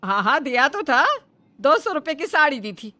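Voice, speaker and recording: A scolding middle-aged mother-in-law, played by a woman in a comic cartoon tale. Her voice is high and forceful, swinging dramatically with sarcasm and indignation. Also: sing-song, moderate pace, exaggerated voice. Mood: indignant. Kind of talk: acted comic cartoon story dialogue.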